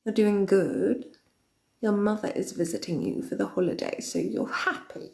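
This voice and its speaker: A woman playing a centuries-old villainous queen of darkness, chatting with viewers in a slow, theatrical, often whispered delivery. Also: medium pitch, gravelly, a little mellow, lightly acted. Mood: sinister, theatrical. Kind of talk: in-character chat with viewers. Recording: roomy echo.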